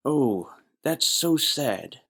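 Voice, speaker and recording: A man's voice: fairly high pitch, animated, extremely slow, fairly bright tone, husky, exaggerated voice. Man acting a naive young bartender. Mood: earnest, gossipy. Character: naive, gossipy young male nightclub bartender. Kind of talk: noir audio play dialogue.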